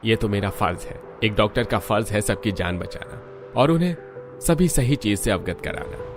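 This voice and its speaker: A man's voice: lowish voice, big pitch swings, normal speed, music in the background. A man playing a concerned village doctor who explains things earnestly in a cartoon moral story. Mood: dutiful.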